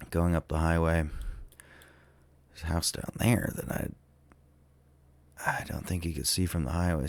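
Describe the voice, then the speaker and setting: A man with an extremely deep, gravelly voice, talking slowly and unhurriedly while he is sick with a cold. He muses out loud in a casual, hesitant way, full of ums and pauses. The sound is dry and close, with no room around it. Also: no echo. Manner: hesitant and musing, many ums and trailing pauses. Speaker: vlogger touring tornado damage on a map. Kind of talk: casual thinking-aloud commentary.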